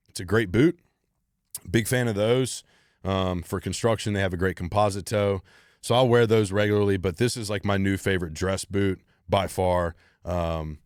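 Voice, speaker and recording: A man's voice: low voice, dry recording, studio quality. A man in his thirties hosting a solo Q&A podcast, talking bluntly and driven, swearing freely. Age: 30s. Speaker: podcast host.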